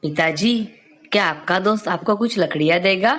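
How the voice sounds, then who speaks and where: A woman voices a respectful young boy in a storybook cartoon. He is earnest and thoughtful, speaking rather low. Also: moderate pace, fairly bright tone, lightly acted. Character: polite, thoughtful young son with bright ideas.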